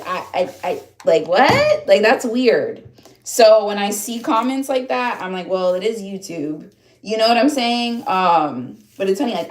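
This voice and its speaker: A woman in her thirties from upstate New York, hosting a casual livestream and chatting with viewers in the comments, rambling with lots of fillers and restarts. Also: mid-range voice. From upstate New York, USA. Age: 30s. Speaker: livestream host. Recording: echoey room.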